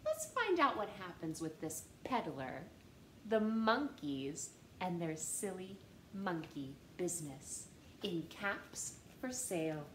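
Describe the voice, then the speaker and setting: A woman in her thirties reading a children's picture book aloud in a living room, with an animated, sing-song manner that speaks straight to young listeners. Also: fairly low pitch, slow, roomy sound, a little hiss or hum, rough recording. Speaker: storytime reader. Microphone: camera in front at close range.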